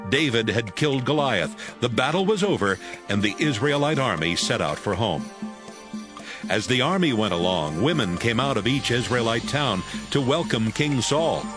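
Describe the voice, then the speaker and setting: Male Bible narrator over clear music. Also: medium pitch, expressive, moderate pace. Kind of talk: scripted dramatized Bible narration.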